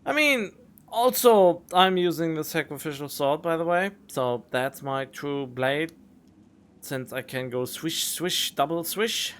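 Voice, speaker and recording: A man's voice: fairly high pitch, a little slow, a bit bright, clean tone. A man streaming a video game, making casual, playful, joking asides as he plays.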